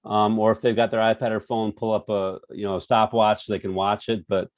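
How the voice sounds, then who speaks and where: Casting: low-voiced male coach, relaxed and hesitant, dry close recording. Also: brisk, no room sound. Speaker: strength coach. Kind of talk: roundtable discussion.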